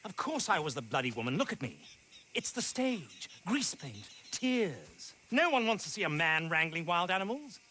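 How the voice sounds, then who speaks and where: A man's voice: high-pitched, very animated, normal speed, pushed and bright, slight background noise, exaggerated voice. A male actor playing a flamboyant British stage animal-tamer showman in drag, theatrical and grandiloquent, in a video game cutscene. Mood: despairing, bitter.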